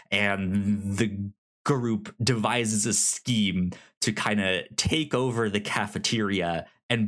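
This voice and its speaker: A man's voice: lowish voice, a bit bright. A man chatting casually and enthusiastically about TV as a podcast host, often stammering and repeating sounds. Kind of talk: casual chat about TV shows.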